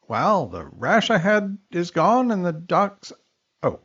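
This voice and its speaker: A man's voice: high-pitched, wildly swooping pitch, slow pace, a bit bright, breathy edge, lo-fi sound, exaggerated voice. An actor playing a wisecracking male medical examiner with dry punchline delivery in a comedic radio drama. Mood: deadpan.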